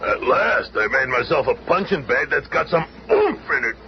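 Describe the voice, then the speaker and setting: Gruff slangy brute hero. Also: male voice, medium pitch, very animated, moderate pace. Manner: tough, slangy talk. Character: gruff strong hot-tempered male brute hero. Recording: noisy, dull 1970s radio recording.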